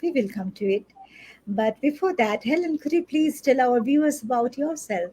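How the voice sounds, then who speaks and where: A woman's voice: high voice, expressive, normal speed, mellow, breathy edge, low-quality recording. A woman from India hosting an interview show, polite and formal, reading prepared questions. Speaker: host.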